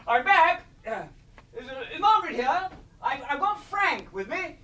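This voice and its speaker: Cheery English husband, high bright voice, tipsy, echoey and off-mic, radio play. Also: male voice, very animated, moderate pace, recorded from a distance, slight background noise, exaggerated voice. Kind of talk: radio drama acting.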